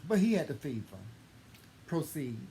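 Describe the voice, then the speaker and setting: A man's voice: a little high, big pitch swings, normal speed, mellow. A man telling a personal story in a casual, conversational way, gushing affectionately and often circling back to repeat his phrases. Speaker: co-host telling a couple's story. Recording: clearly noisy room ambience.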